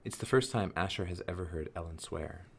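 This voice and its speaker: A man's voice: low-pitched, brisk, creaky, slightly rough, full character voice. A man narrating a radio play as a storyteller who observes the characters in scripted present-tense prose. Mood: measured narration.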